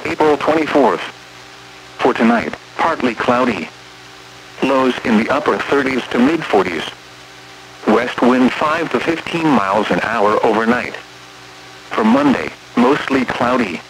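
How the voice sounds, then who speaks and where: Computerized american guy reading weather bulletins, formal n impersonal, noisy weather radio sound. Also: mid-range voice. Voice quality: synthetic text-to-speech voice. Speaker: automated weather radio announcer. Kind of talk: scripted weather forecast reading.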